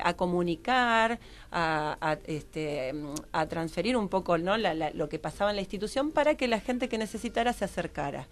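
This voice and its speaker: A woman with a Rioplatense Argentine accent explaining her view in a radio panel, haltingly and seeking agreement. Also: on the low side.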